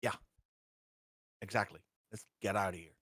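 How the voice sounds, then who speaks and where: Lower-voiced man weighing options. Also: normal speed, fairly bright tone, dry recording, clean studio sound. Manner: cautious, reasons through questions aloud. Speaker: player. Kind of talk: casual tabletop play talk.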